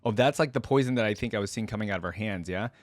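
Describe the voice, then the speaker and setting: Low-pitched man giving casual reactions, close and dry. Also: very fast, no echo. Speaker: reaction video host. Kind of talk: casual reaction commentary mixed with reading aloud.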